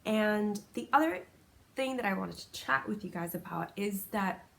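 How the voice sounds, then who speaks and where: Woman podcast host from Puerto Rico, native Spanish speaker, casual and self-correcting, middle pitch, faint background noise. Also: normal speed, vocal fry, fairly bright tone. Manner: chatty, rambling, self-correcting with small laughs. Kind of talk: casual solo podcast chat.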